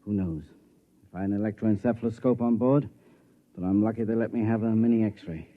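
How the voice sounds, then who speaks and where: A tinny, phone-like vintage radio recording of a weary ship's doctor, played by a man. He has a fairly low voice and speaks frankly, with grave, wry delivery. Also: steady tone, fairly quick, lightly acted. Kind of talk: 1950s radio drama acting.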